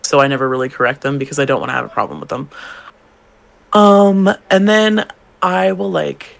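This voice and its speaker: Young male drag queen podcaster, slightly high gravelly voice, chatty and animated. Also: normal speed. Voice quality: gravelly, feminine-leaning voice. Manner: animated, swearing, acts out customers' lines. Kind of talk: casual storytelling chat.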